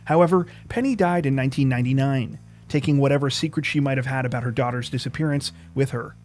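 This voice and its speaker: A man reads scripted true crime narration in a measured, serious manner. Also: mid-range voice, a little mellow. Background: plucked guitar music.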